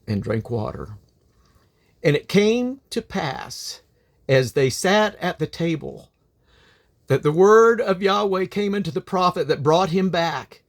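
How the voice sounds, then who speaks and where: A man's voice: sits high, lively intonation, takes it slowly. A man reading the Bible aloud as a dramatic narrator, declaiming quoted speech with emphasis. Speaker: Bible reader.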